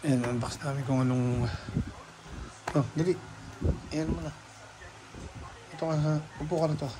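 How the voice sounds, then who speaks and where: A man narrating a casual hands-on unboxing vlog, halting and thinking aloud as he goes. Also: fairly high pitch, fairly even intonation, noisy background. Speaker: vlogger.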